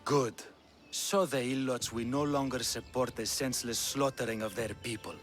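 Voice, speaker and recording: An actor playing a shrewd, proud Spartan king in a video game, speaking with a Greek accent in a deliberate, wry, rhetorical way. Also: mid-range voice, fairly even intonation, soft background music, close to an everyday voice. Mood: grave, conspiratorial. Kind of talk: video game cutscene acting.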